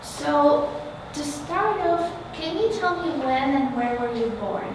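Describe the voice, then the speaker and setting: A woman with a fairly high voice conducts an informal oral history interview, halting as she goes. She sounds some distance from the mic in a very reverberant room, with clear noise around her. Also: animated.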